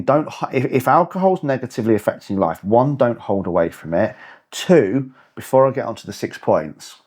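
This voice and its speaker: A man's voice: medium pitch, darkish tone. Blunt man in his forties. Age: 40s. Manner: blunt and emphatic, swears for emphasis. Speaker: fitness and lifestyle coach, podcast host. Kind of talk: motivational podcast monologue.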